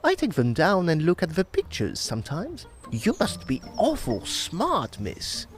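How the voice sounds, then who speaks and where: A woman voicing a naive, simple-minded young man who rambles earnestly and bursts into excited outbursts, in an old-time radio drama. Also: fairly low pitch, measured pace, soft background music. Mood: rambling. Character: naive, simple-minded young male suitor, cheerful and eager.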